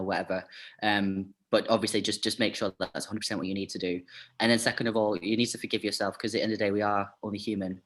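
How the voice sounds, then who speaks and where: A man's voice: on the low side, fairly bright tone. A man chatting as an interview guest, talking casually with lots of fillers and "like".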